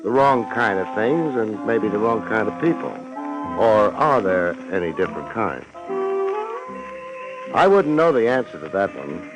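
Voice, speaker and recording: An American man playing a world-weary, wisecracking private detective and narrator in a deadpan 1950s radio drama style. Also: fairly low pitch, measured pace, loud music behind the voice. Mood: wry, weary. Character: wry, world-weary private detective, cool under threat. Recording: noisy vintage 1950s radio recording.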